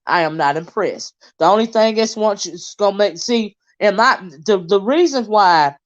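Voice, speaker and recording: A blunt, emphatic woman holds forth on her own live stream, looping back over her points with a lot of "you know" and "um." Her voice sits at an ordinary middle pitch. The audio comes through dull and lo-fi, like a home stream. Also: expressive, normal speed. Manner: blunt, emphatic, repeats herself with many fillers. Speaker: commentary channel host. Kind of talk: live-stream commentary monologue.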